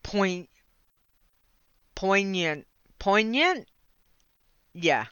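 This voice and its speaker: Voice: mid-range voice, very animated, extremely slow, sharp tone, clean tone, no echo. An Australian livestream host chatting casually with viewers, rambling, laughing often and stumbling over words. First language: English. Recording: slightly dull lo-fi mic, dry.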